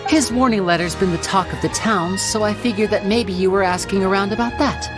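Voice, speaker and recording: A man voicing a smooth, playful young stage magician in a video game, theatrical and teasing, over game music. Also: high voice, brisk, close to an everyday voice.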